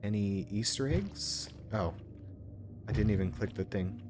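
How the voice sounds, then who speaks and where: A man's voice: lowish voice, expressive, a little slow, background noise. A man giving casual playthrough commentary as a gaming streamer, with an irreverent, joking, sweary manner.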